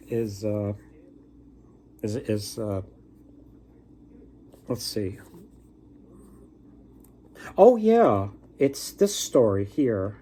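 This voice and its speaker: A man with an average-pitched voice retells a folktale off the cuff. He is conversational and rambling, feeling his way haltingly through the story as a book vlogger would. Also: mellow, slight background noise. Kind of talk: casual, unscripted folktale retelling.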